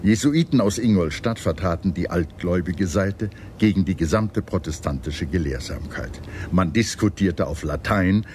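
Male history narrator, low register, measured formal scripted read, noisy background. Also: darkish tone. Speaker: documentary narrator.

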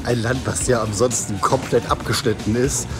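A man's voice: medium pitch, steady tone, moderate pace. A man in his forties, vlogging from a car and a supermarket, chatty and wry, talking to a camera held at arm's length, with music under his voice. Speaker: travel vlogger. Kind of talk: casual travel vlog. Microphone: handheld camera at arm's length.

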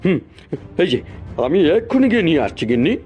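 Man acting as pompous henpecked landlord, servile, cartoon, high male pitch, lively swings, soft-toned, music behind. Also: fast. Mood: eager, fawning. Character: henpecked, fawning village landlord, self-important but servile. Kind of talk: Bengali cartoon folk tale voice acting.

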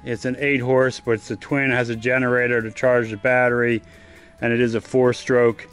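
A man giving a casual step-by-step how-to while working on a boat trailer on his driveway, often turning away from a low camera. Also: little pitch movement, normal speed, fairly bright tone, smooth, faint music behind. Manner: plain, practical, step-by-step explaining while working. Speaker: DIY vlogger. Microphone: camera mounted low on the ground, voice often off-mic.

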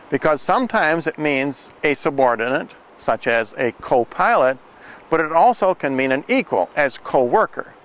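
Casting: older male prophecy preacher, slightly high pitch, forceful bright tone, deliberate and emphatic delivery. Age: older, 60s-70s. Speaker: video preacher, host of a prophecy program. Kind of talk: earnest scripted religious monologue to camera.

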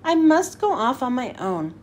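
A woman's voice: high-pitched, very animated, moderate pace, a little mellow, a little hiss or hum. A woman voicing an earnest, plucky young male reindeer in a storybook, speaking emphatically. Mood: resolute.

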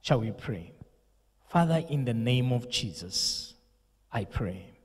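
A young pastor speaks slowly and solemnly into a handheld mic, with the emphasis of a preacher. His voice is husky, and a long reverb trails behind it. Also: mid-range voice, expressive. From Zambia. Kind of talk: condolence message and prayer. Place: indoor studio set with purple lighting.

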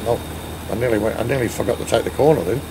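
A man's voice: medium pitch, racing, gravelly, a little mellow, a little hiss or hum, rough recording. Australian man streaming, bantering with chat. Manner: banters, thanks chat viewers, swears playfully. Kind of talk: casual gaming chat. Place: home gaming room, indoors. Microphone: headset.

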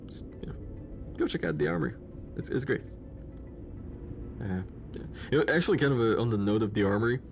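A young man with a Slavic accent, chatting casually while streaming a game, rambling with lots of fillers and restarts. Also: on the low side. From Czech Republic or Slovakia. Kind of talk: casual gameplay commentary. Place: home room in front of a gaming chair. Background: faint game music.

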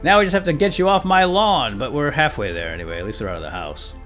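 A man's voice: mid-range voice, expressive, fast, a bit bright, faint music behind. A man hosting a gaming video with playful, exclamatory role-play commentary, talking to himself and his viewers.

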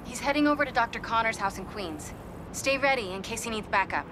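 A woman's voice: a little high, lively intonation, a little fast, noisy background, lightly acted. A woman acting a friendly, practical young ally on a call in a video game cutscene.

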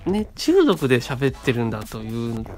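A man's voice: medium pitch, huge pitch swings, normal speed, a little mellow, faint music behind. A man around thirty co-hosting a casual radio show, talking in short phrases with frequent little tag words.

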